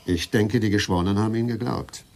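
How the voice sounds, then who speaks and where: Male actor as chief prosecutor, low voice, sober, cautioning, measured official delivery, radio drama. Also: natural voice. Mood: serious, cautioning. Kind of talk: hard-boiled radio drama acting.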